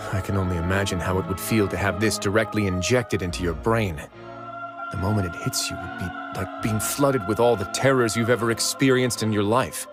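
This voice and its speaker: An actor playing a cool, shrewd, commanding duke in a video game, speaking in a measured, deliberate way. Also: male voice, lowish voice, natural voice. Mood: grim. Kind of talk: animated video game voice acting. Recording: game music playing behind.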